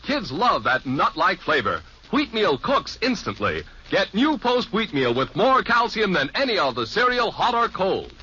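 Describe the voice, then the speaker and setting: A man pitches a product in a vintage radio commercial. His voice is high, bright and lively. The recording is narrow and dull. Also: measured pace, hardly any pauses. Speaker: commercial announcer. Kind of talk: 1950s radio advertising read. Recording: band-limited old radio recording, faint noise.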